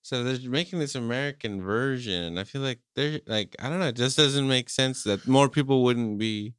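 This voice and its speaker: A man's voice: medium pitch, normal speed, a bit bright, smooth, dry recording, clean studio sound. A man co-hosting a casual chat, joking, teasing and swearing freely.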